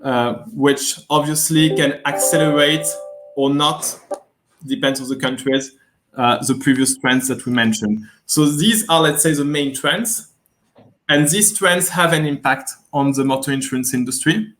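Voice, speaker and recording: A man from France with a French accent, a guest executive speaking in an online interview, measured and hesitant, with many fillers. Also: fairly high pitch, fairly bright tone, soft background music. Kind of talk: webinar interview.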